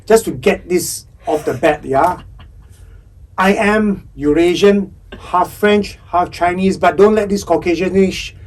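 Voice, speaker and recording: A man's voice: high voice, unhurried, distant mic. A middle-aged man from Singapore with a Singaporean English accent, a podcast guest talking candidly and wryly about his past. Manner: candid, wry storyteller. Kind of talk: casual interview chat.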